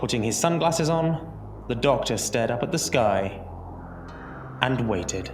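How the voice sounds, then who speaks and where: A man narrating an audio drama as a scripted story, theatrical and deliberate, with dramatic pauses. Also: mid-range voice, lively intonation, a little mellow. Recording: roomy echo under music. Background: music.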